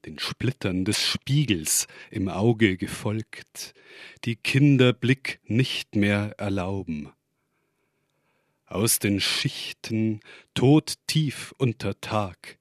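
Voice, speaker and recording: Slow, low-voiced man reading poetry. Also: no room sound, clean studio sound. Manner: measured delivery in short, separated phrases. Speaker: poet reading own work.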